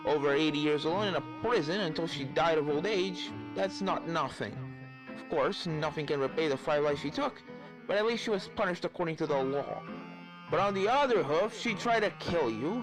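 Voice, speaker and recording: A man voicing a scholarly professor who explains things gravely and emphatically in a fan fiction reading. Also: medium pitch, lightly acted, poor audio. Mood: weighing.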